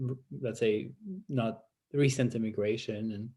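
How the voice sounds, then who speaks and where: A man from Iran, a native Persian speaker, interviewing in an academic conversation with halting, tentative phrasing as he searches for words. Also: lowish voice, steady tone, warm tone, slightly rough.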